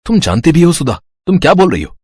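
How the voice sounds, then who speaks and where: A man voicing an irritable, curt married son and husband in a family story, with a slightly husky voice. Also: a little high, very animated, fast, a little mellow, dry recording, clean studio sound. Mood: irritated. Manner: curt, scolding.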